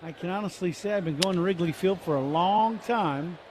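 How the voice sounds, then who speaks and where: A middle-aged man giving relaxed color commentary on baseball radio, chatty and rambling. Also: high-pitched, brisk. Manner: chatty, rambling, repeats "I can honestly say". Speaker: color analyst. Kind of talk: relaxed broadcast banter. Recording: noisy radio broadcast.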